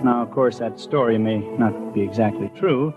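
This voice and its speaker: A man's voice: medium pitch, normal speed, loud music behind the voice, natural voice. An actor playing an earnest, imaginative small-town postman with a folksy, hesitant manner in a 1950s radio drama. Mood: confiding, sheepish. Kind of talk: 1950s radio acting, first-person storytelling and dialogue. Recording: dull old radio recording.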